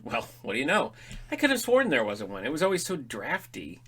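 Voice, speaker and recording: A man's voice: medium pitch, wildly swooping pitch, brisk, fairly bright tone, slightly rough, exaggerated voice. A man playing a grumpy, dryly sarcastic middle-aged father in an audio drama comedy. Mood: grumbling, wry. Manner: dry, sarcastic, exasperated.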